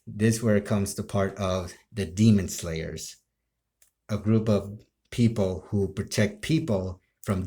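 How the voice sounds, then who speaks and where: A man telling a story casually, in halting, broken phrases with frequent restarts. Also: low voice, mellow. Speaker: amateur video creator telling his role-play ideas.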